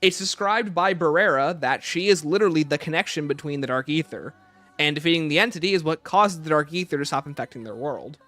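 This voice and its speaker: A man's voice: on the high side, fast, bright, forceful tone. A man hosting a livestream, reading out chat questions and answering them informally while thinking aloud. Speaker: livestream host.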